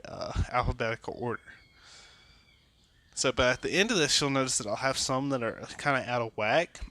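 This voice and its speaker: A man's voice: medium pitch, fairly bright tone, no echo. Rambling tipsy man with a cold. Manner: rambling, many fillers and restarts. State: sniffly from a cold; tipsy from drinking. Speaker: card collector vlogger. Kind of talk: casual show-and-tell vlog. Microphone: phone camera.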